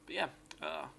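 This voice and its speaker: A man with a sore, raspy voice giving casual, rambling commentary on a game build and correcting himself as he goes. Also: sharp tone, slight background noise. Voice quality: raspy, gravelly. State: sore, hoarse voice. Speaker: game streamer.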